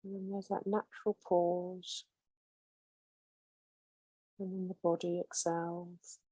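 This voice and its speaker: A woman gently guides listeners through a meditation in a slow, soothing way. Her voice is mellow and slightly breathy, with very little rise and fall. The sound is close and dry. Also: fairly low pitch, little pitch movement, no room sound, rough recording.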